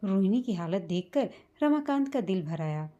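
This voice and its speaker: A woman reading a scripted, sentimental family story as a storyteller, in a measured manner. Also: mid-range voice, very animated, dark tone, highs cut off. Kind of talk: scripted emotional story narration in Hindi.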